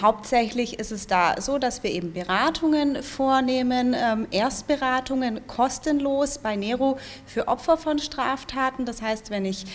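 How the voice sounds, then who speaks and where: A young woman giving an explanatory interview answer as a guest expert, speaking into a handheld microphone indoors. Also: on the high side. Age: young adult. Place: small indoor information room with bookshelves. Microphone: handheld microphone held out to her.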